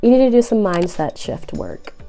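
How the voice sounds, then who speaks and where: A young woman with a cold, sounding nasal and raspy, giving earnest, encouraging relationship advice straight to the viewer. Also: mid-range voice, very animated, normal speed, mellow, soft background music. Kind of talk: direct-to-camera advice vlog.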